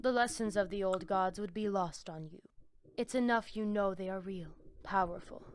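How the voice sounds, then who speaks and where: A woman's voice: mid-range voice, normal speed, a little hiss or hum, lightly acted. A woman playing a proud, fanatical vampire cultist in a video game, speaking in solemn, cryptic, grandiose phrases. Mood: mystical, proud. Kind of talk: video game character dialogue.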